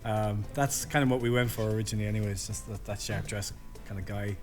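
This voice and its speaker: A man's voice: on the low side, fairly even intonation, quick pace, darkish tone, faint music behind. An Irish man in a band, chatting loosely in an interview with plenty of fillers and restarts. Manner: rambling, lots of fillers. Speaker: band member, drummer. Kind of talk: casual interview chat.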